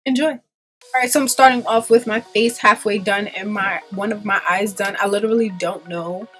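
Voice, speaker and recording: A woman's voice: mid-range voice, steady tone, normal speed, pushed and bright, faint music behind, rough recording. Stuffy-nosed woman chatting through a makeup tutorial. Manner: chatty, loose, peppered with ums and likes. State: stuffy from a cold. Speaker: beauty vlogger. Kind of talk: casual makeup tutorial chat. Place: small room in front of a pink backdrop.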